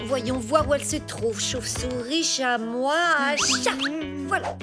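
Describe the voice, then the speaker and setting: A woman voicing a sensible, quick-to-correct small female bird in a French cartoon. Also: high-pitched. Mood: correcting, brisk. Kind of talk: cartoon voice acting. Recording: music bed behind the voice.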